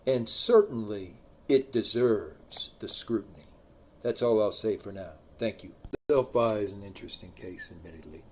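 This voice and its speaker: A man's voice: lowish voice, normal speed, darkish tone, slight background noise. A man hosting a true crime channel, talking through a case and reading quotes aloud, his voice trailing off at line ends.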